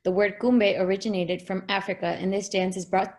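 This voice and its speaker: A woman's voice: medium pitch, flat delivery, brisk, darkish tone. Student presenter, woman, reads her slides plainly. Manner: reading from slides. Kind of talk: class presentation.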